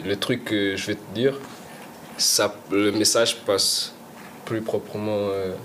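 A man's voice: on the low side, level tone, takes it slowly, a bit bright, slight background noise. A young man, a rapper guest, chatting casually in slangy talk, often trailing off and restarting his sentences. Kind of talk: casual chat.

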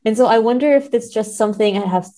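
A woman's voice: fairly high pitch, dark tone. A woman speaking as a podcast guest and literary scholar, reflective and careful as she works through her analysis. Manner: measured, reflective, careful hedging. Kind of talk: thoughtful literary discussion.

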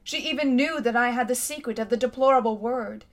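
A woman voicing a cold, imperious tyrant queen in a storybook reading, speaking loudly and grandly. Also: fairly high pitch, a little fast, lightly acted. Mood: bitter. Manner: haughty, commanding, grand.

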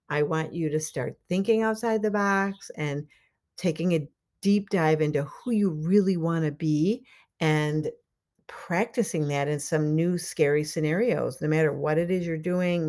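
A woman's voice: deep voice, darkish tone. A middle-aged woman hosting a solo podcast, talking casually and encouragingly to her listeners and laughing often. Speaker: podcast host and life coach.

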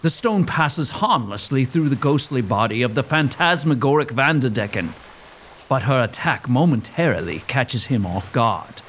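A man's voice: medium pitch, lively intonation, moderate pace, fairly bright tone, a little hiss or hum. A man narrating a gothic audio drama as a scripted story, in a theatrical, suspenseful manner.

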